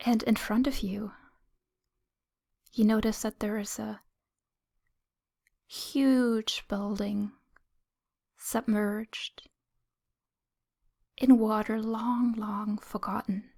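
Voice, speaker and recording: A woman leading a guided meditation in a soothing, gentle, breathy whisper, speaking in short phrases with long pauses. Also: mid-range voice, fairly even intonation.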